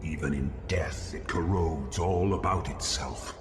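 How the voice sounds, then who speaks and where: Huge ancient evil god from a video game, super deep n slow, ominous, giving orders. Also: male voice. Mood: ominous, commanding. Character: ancient monstrous dark god, commanding and sinister. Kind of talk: video game cutscene acting. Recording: cavernous long reverb under loud music.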